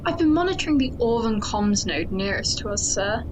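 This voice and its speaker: A woman's voice: fairly high pitch, lively intonation, moderate pace, noisy background, close to an everyday voice. A woman playing a wary, intense chief of security on a space station in an audio drama, formal and insistent. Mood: urgent, wary. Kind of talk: science-fiction audio drama acting.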